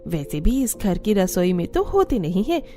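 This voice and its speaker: A woman acts as a teasing elder sister-in-law in a cartoon, a resigned housewife. Her tone is soft and dark, and music plays behind her. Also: medium pitch, very animated, moderate pace, lightly acted. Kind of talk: Hindi cartoon story acting.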